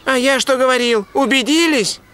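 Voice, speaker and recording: High, excitable young cartoon dog hero. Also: male voice, normal speed, smooth. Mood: insistent. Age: young adult. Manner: earnest, excitable, declamatory. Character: brave, hot-headed young dog would-be musketeer, pious about duty. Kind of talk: dubbed cartoon voice acting.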